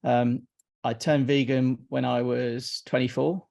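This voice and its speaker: A man's voice: mid-range voice, flat delivery, a little slow, vocal fry, a little mellow. A man in his fifties from Iraq, a guest in a casual interview, who talks hesitantly, often repeating words and restarting sentences. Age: 50s.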